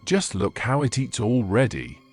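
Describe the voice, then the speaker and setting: A man's voice: medium pitch, big pitch swings, measured pace, soft background music. A man voicing a simple, easygoing village cowherd in plain, matter-of-fact storybook dialogue. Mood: unconcerned.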